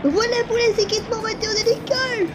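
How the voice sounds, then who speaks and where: A performer voicing a small, excitable young pilot in a cartoon comic fan dub, exclaiming and drawing words out. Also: very high voice, quick pace. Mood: excited. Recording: music behind the voice.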